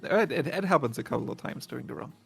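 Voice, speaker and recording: A man's voice: medium pitch, expressive, racing, creaky, a bit bright. A man co-commentating a speedrun casually, with dry humor. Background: game music and dialogue.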